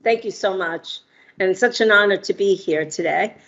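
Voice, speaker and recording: Middle-aged woman from Argentina, halting speech. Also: medium pitch, fairly bright tone, lo-fi sound. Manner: friendly, frequent ums and uhs. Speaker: guest speaker, entrepreneur. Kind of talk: prepared remarks with fillers.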